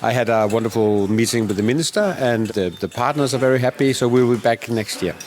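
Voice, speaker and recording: A man's voice: medium pitch, fairly quick, darkish tone, a little hiss or hum. A man co-hosting a podcast in a casual chat, speaking with lots of hesitant fillers and real enthusiasm.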